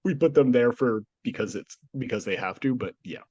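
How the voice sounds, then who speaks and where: A man's voice: mid-range voice, animated, a little fast, a little mellow, breathy edge, lo-fi sound. A man hosting a casual history podcast, talking through a cold that nearly took his voice, joking and laughing often. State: nearly lost voice, sick. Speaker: podcast host. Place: home studio, remote.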